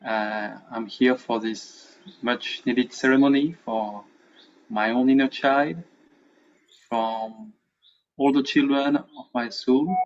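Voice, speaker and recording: A man introducing himself earnestly and slowly as a ceremony participant. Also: medium pitch, fairly even intonation, dull audio, slight background noise.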